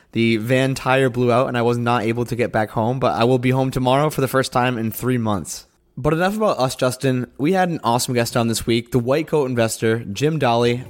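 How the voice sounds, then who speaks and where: A male podcast co-host talks quickly and cheerfully, his voice slightly hoarse. He speaks at a middle pitch and keeps things chatty. He is recording from a friend's apartment. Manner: upbeat, chatty.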